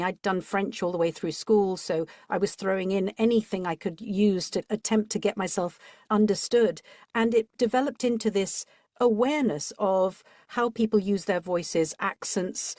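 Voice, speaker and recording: A woman in her fifties who grew up in England speaks as an interview guest with a curious, expressive storyteller's manner. Her voice sits in a middle register and comes across dry and close, with no room echo. She reflects thoughtfully and lingers over ideas. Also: medium pitch. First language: Spanish. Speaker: guest, audiobook narrator.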